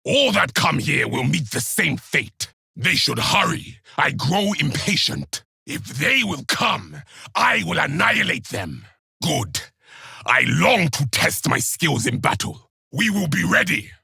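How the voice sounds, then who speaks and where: Grim warrior, video game battle barks, high-set voice, hard forceful edge, raspy, clipped, solemn. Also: male voice, very animated, slow, no echo, studio quality, exaggerated voice. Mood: impatient, menacing. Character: grim, duty-bound male space-marine soldier, impatient for battle.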